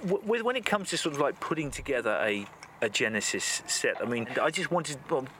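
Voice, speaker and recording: A man's voice: mid-range voice, big pitch swings, fairly quick, background noise. A man hosting an interview, chatty and hesitant with lots of fillers. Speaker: podcast host, interviewer. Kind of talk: casual interview.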